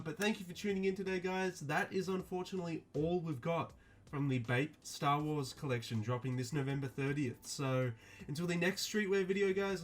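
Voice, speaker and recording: Flat-voiced man with many ums. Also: a little high. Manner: flat, mildly disappointed, many fillers. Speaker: streetwear vlogger. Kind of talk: casual unscripted review.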